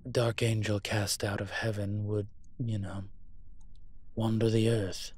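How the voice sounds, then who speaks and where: A man playing a suave, teasing demon professor in an audio roleplay, with a wry, conversational delivery and a husky voice. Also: on the low side, flat delivery, takes it slowly, darkish tone, slight background noise. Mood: wry, musing. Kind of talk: intimate audio roleplay acting.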